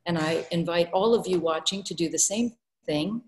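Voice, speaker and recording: Middle aged lady hosting an online event, lowish voice, doing intros. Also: normal speed, darkish tone. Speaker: emcee. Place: renovated art studio, on video call.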